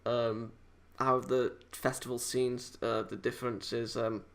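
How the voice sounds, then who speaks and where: A man giving a casual, unscripted review in a halting, hesitant, rambling way, often correcting himself as he goes. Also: medium pitch, little pitch movement, a bit bright. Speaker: amateur video game reviewer.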